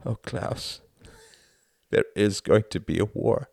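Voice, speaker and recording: A man's voice: mid-range voice, very animated, normal speed, gravelly, no room sound, clean studio sound, pitched above the performer's own voice. A man doing a reserved young Englishman. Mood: anguished.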